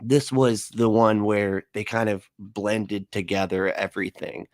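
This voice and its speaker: Skeptical man with a lowish, close dry voice. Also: fairly even intonation, unhurried, no echo. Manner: rambling, argumentative, skeptical. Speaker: host of an atheist reaction livestream. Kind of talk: casual reaction commentary.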